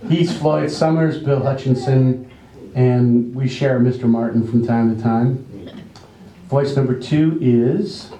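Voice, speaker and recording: A man's voice: mid-range voice, slow, mellow. A man casually introducing a cast to an audience, unscripted, in a bumbling, good-humored way. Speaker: narrator and presenter introducing the cast. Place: indoor library event room. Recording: echoey room, faint background noise.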